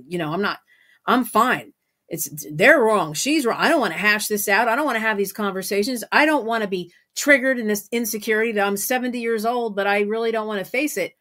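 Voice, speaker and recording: Middle-aged female podcast host, medium pitch, off-the-cuff monologue, emphatic, repetitive for stress. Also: a bit bright. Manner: emphatic, repeats phrases for stress. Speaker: podcast host and spiritual healing guide. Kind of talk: unscripted solo podcast monologue.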